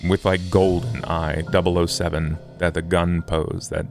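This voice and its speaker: A man playing a scholarly, formal vampire in a tabletop game, speaking in measured, wordy phrases over music. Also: level tone, darkish tone. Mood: earnest, explanatory. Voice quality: low, gravelly fry. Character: scholarly, formal, morose male vampire occult theorist. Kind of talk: in-character tabletop roleplay.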